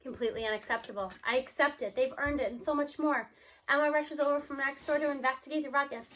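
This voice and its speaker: A woman reading a children's novel aloud in an expressive storytelling style, as narrator. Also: high-pitched, fast.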